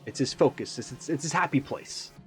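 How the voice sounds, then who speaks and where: A man's voice: fairly high pitch, a little fast, faint music behind. A man giving casual, rambling analysis as a video host, musing and tentative as he thinks aloud. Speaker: YouTube reaction host.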